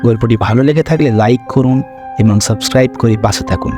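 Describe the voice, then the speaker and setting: A man's voice: mid-range voice, a little fast. A man presenting in a scripted style for a story channel. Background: music.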